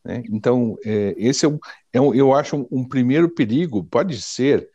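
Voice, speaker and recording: A man of about sixty with a Brazilian accent, reflecting casually and personally, haltingly searching for his words. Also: mid-range voice, warm tone, slightly rough, no echo, dull audio. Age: around 60. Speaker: panelist.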